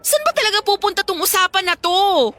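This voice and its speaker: Radio drama: plaintive young Filipino woman, wronged girlfriend, piercingly high voice over faint music. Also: very animated, a little fast, bright, forceful tone, exaggerated voice. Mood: defensive, pleading. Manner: whiny, plaintive. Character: tearful, pleading young female student, wronged girlfriend.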